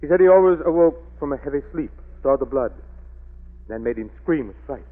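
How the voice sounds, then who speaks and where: An actor playing a middle-aged, tormented wealthy father with a dark secret, anguished and melodramatic in 1940s radio style. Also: a little high, expressive, a little fast. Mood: anguished confession. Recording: thin phone-like vintage radio recording.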